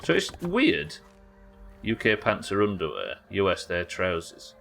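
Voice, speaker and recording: Lively low-voiced British man chatting on stream. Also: measured pace, vocal fry, soft background music. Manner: rambling, reads chat messages aloud and muses over them. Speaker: streamer host. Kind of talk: casual live-stream chat while gaming.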